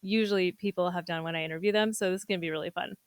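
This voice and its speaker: A woman's voice: fairly low pitch, steady tone, very fast, smooth, dry recording. A young woman hosting a podcast, chatting in a bubbly, giggly, upbeat way. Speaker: podcast host. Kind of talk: casual interview chat.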